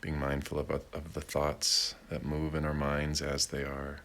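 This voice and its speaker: A man leading a guided meditation in a gentle, deliberate way, with long pauses between short phrases. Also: rumbling low, monotone. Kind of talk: guided meditation, slow and unhurried.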